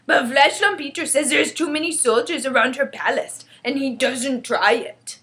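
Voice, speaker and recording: A woman's voice: high voice, normal speed, fairly bright tone, pitched above the performer's own voice. A woman voicing a big male giant from a storybook, stubborn and gloomy, speaking emphatically in muddled grammar. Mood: matter-of-fact. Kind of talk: storybook character voice.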